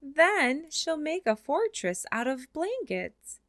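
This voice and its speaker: A woman reading a children's picture book aloud in an expressive way, slowing down for the closing lines. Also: high voice, dry recording, studio quality. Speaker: storybook reader. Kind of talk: children's read-aloud narration.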